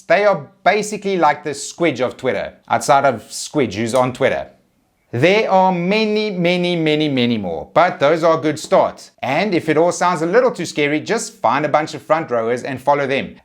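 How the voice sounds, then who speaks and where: Wry man with a lively, bouncing voice. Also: fairly high pitch. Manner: wry, dry humor. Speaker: rugby content creator. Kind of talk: scripted video commentary.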